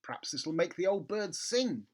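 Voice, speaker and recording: A man's voice: sits high, sing-song, normal speed, pitched above the performer's own voice. A man reading the part of a mischievous young boy in a children's storybook, gleeful and scheming.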